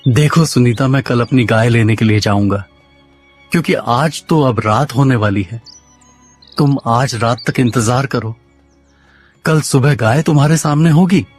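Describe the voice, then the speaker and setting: A man's voice: medium pitch, unhurried, close to an everyday voice. Casting: male actor for work-shy, simple-minded cartoon villager husband, medium register, faint music underneath. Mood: placating. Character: lazy, naive village husband who shirks work. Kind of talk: Hindi cartoon story dialogue.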